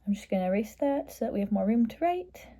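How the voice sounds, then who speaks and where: A woman's voice: fairly high pitch, big pitch swings, fast, warm tone. A woman explaining a worked math problem step by step in a matter-of-fact, instructional way, like a tutor.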